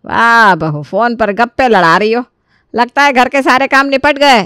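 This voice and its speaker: A woman's voice: fairly high pitch, normal speed, a bit bright, clean tone, no room sound, studio quality, lightly acted. A woman voicing a bossy, sarcastic older mother-in-law who scolds and nags in a dramatised story.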